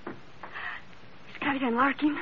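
A woman's voice: high-pitched, fairly even intonation, takes it slowly. An actress playing a passionate, anxious young lady in an old-time radio adventure. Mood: frightened. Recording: noisy, muffled vintage radio recording.

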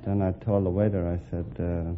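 A man's voice: low voice, level tone, brisk. A man taking part as a quiz contestant, chatting casually and answering the host. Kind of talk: casual anecdote and answers in interview. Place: broadcast studio. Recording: tinny, noisy old radio broadcast.

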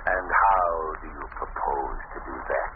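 An actor playing a crazed, greedy murderer in a menacing, gloating, theatrical style of old radio melodrama. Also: male voice, rumbling low, a little slow. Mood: gloating menace. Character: crazed, greedy male murderer cornered in an abbey. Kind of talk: 1930s-40s radio melodrama acting. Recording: noisy, narrow-band old radio recording.

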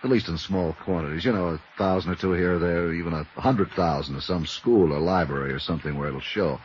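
Low-voiced, gruff 1950s radio police lieutenant, a man acting. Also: quick pace, lightly acted. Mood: wry, emphatic. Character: gruff, skeptical male police homicide lieutenant. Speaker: supporting radio actor. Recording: muffled, noisy old radio recording.

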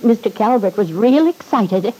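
Hesitant, husky old servant woman. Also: mid-range voice, lively intonation, normal speed, mellow, rough recording. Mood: deferential, hesitant. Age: older. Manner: hesitant, deferential, stammering. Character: nervous, loyal old female household servant on the witness stand. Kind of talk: old-time radio drama acting.

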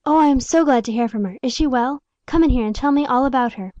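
A woman voicing a gentle, refined famous singer who speaks earnestly. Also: high voice, fast, no room sound, dull audio, lightly acted.

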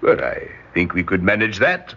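An actor in an old-time radio drama playing a kindly, courtly tailor, genial and unhurried in manner. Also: male voice, sits low, very animated, creaky, pushed and bright, heavily acted. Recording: dull noisy old radio recording.

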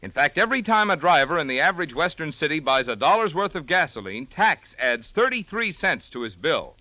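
Animated, bright-voiced American radio announcer man. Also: a little high, fairly quick, no room sound. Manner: polished, emphatic announcer delivery. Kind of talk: 1940s radio announcing, scripted advertisement and credits.

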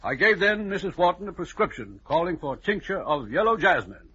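An actor playing a fussy, pompous, clinical old-fashioned doctor giving testimony in an old-time radio drama. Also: male voice, high-pitched, very animated, fairly quick, pushed and bright, slightly rough, full character voice. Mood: precise, self-important. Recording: muffled old radio recording.

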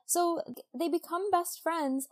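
A woman's voice: very high voice, a little slow. A woman co-hosting a casual book podcast, talking in a chatty, gushing way full of conversational asides. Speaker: podcast co-host.

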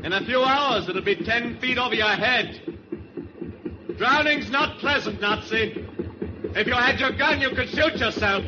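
An actor playing a clipped, bitter, vengeful English skipper with a British accent in a 1940s radio drama. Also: male voice, extremely high pitch, a little slow, background noise. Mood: grim, vengeful. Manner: clipped, grimly sardonic. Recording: dull lo-fi 1940s radio, music behind.

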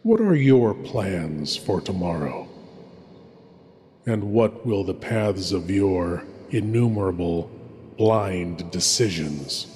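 A man hosting a horror show, narrating in a slow, ominous, theatrical way. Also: sits low, expressive, a little mellow, slight background noise. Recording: reverberant with music behind.

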